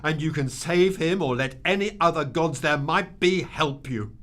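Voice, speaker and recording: A man's voice: on the high side, normal speed, a bit bright, lightly acted. A man in a radio drama playing a guilt-ridden wealthy man, earnest, emphatic and pleading. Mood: anguished, defiant.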